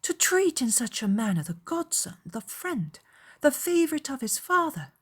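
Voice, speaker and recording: Husky voice, spirited young gentlewoman played by a woman, indignant, emphatic, raised pitch, audiobook drama. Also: big pitch swings, a little slow, heavily acted. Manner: emphatic, exclamatory.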